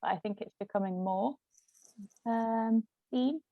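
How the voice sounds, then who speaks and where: A woman's voice: on the high side, a little slow, no room sound, narrow, tinny audio. A woman in casual conversation as an author, tentative and searching for her words. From Britain. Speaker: author, co-writer of a novel.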